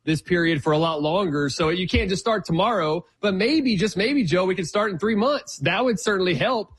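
A man from Texas, a guest economist explaining energy prices in a measured way on a radio interview, often restarting his sentences. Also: sits high. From Houston, Texas.

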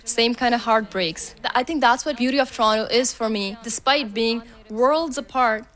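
A woman from India whose first language is Punjabi speaks in a fairly high voice. She sounds heartfelt and sincere throughout. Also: moderate pace, fairly bright tone. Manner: heartfelt, earnest. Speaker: event attendee. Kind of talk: vox pop interview.

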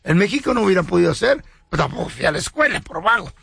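A man's voice: sits high, big pitch swings, very fast, vocal fry, pushed and bright, slightly rough, no echo. A man co-hosting a call-in radio show, cracking crude jokes and teasing callers. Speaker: radio co-host. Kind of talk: joking banter.

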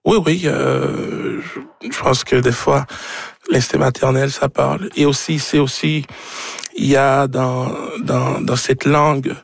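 A man from Haiti with a Haitian accent and Creole as his first language, a novelist speaking haltingly as he searches for words. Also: medium pitch, fairly even intonation, vocal fry, slightly rough, no room sound. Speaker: novelist being interviewed.

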